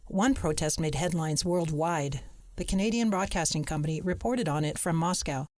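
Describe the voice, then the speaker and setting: A reporter delivering scripted narration in a measured, even way. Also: mid-range voice.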